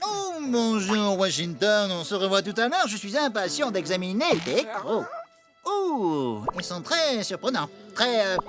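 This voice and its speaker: A man's voice: high-pitched, sing-song, a little slow. A man playing an unctuous, menacing veterinarian in a children's cartoon, insinuating and relishing each phrase. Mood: creepy relish. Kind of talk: French children's cartoon voice acting. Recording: cartoon music under the voice.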